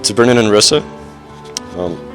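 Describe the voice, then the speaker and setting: A young man with a fairly low voice gives a prepared but informal best man speech. His delivery is halting and dryly self-deprecating, with faint music in the background. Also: fairly bright tone. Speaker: best man, groom's older brother. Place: wedding reception, speaking to guests.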